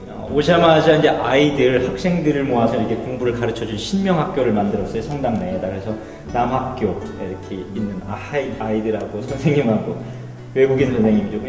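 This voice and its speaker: A middle-aged man explains history as a guide would, pausing now and then to find words. His medium-pitched voice rings around a reverberant hall and sits some way from the microphone. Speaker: Anglican priest, church guide. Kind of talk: informal explanatory guided tour of church history. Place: inside a wooden church hall. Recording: echoey hall, distant mic, faint music.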